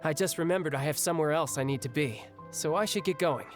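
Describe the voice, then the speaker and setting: Guy acting as young game fighter dude, highish voice, breezy but restless, storytelling casually, music under it. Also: fast talker, lightly acted. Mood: wistful, casual. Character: young male warrior, breezy, restless, battle-hungry. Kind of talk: video game cutscene acting.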